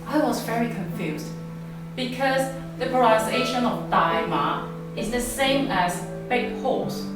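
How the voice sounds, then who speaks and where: A woman from Macau, telling family stories slowly and gently in a live performance. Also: on the high side. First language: Cantonese. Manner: slow, gentle, reflective storytelling. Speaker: artist and storyteller. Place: venue hall with an audience. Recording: echoey hall from far off with music. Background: instrumental music.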